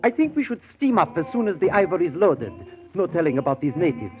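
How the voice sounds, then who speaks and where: An actor playing an officious, insinuating colonial company manager in a 1930s radio drama. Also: male voice, fairly high pitch, very animated, fast. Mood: smoothly disapproving. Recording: tinny 1930s radio broadcast, music behind.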